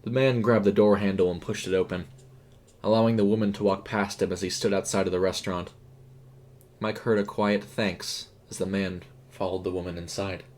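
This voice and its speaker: A man reading a scripted horror story in a measured, suspenseful way. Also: sits low, steady tone, a little mellow. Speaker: audio fiction narrator. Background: music.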